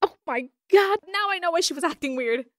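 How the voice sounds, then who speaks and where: Actress as earnest, anxious schoolgirl, dry recording, lively high voice, audio drama. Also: fairly quick, no echo. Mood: alarmed.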